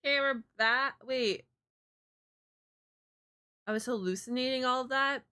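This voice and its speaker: A woman's voice: high-pitched, measured pace, pushed and bright, smooth, no room sound, studio quality. A woman streaming a game, giving casual, joking live reactions and informal asides to viewers.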